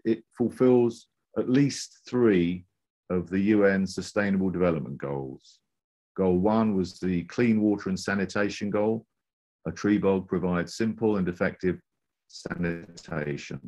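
Very deep-voiced man presenting. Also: a little mellow. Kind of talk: scripted slide presentation narration.

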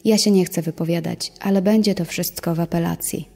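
An actress voices a woman quoted in a true crime documentary, formal and restrained. Her delivery is very flat and her tone dark, with long hall reverb around the voice. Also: lowish voice, fairly quick, creaky, breathy edge, soft background music. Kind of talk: reading quoted statements in documentary.

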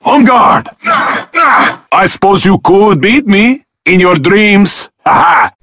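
High-voiced, cocky robot duelist. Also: male voice, big pitch swings, slow pace, pushed and bright, dry recording, shouting, exaggerated voice. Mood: haughty, taunting. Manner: haughty, theatrical. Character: suave, cocky male robot duelist with gallant swagger. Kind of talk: video game voice acting.

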